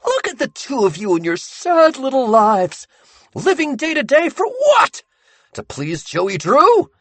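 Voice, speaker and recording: A man voicing a crazed masked man who rants and giggles in a high, manic voice. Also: wildly swooping pitch, measured pace, fairly bright tone, dry recording, heavily acted. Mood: mocking. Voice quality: manic, breaking into high shrieks.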